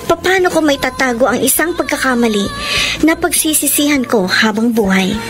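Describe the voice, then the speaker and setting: A woman's voice: sits high, animated, normal speed. An actress with a Filipino accent playing an emotional, distraught pregnant young woman in a radio romance drama. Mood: guilty, confessing. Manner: emotional, tearful pleading. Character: distraught pregnant young woman who has cheated on her fiancé. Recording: melodramatic music behind the voice.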